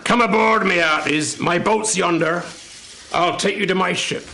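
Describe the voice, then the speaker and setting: Radio play sea captain, male actor: high, gravelly, swoops about, hearty and commanding. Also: normal speed, bright, forceful tone, slight background noise, full character voice, low-quality recording. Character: hearty, rosy male sea captain; deferential office employee. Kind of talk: live radio theatre.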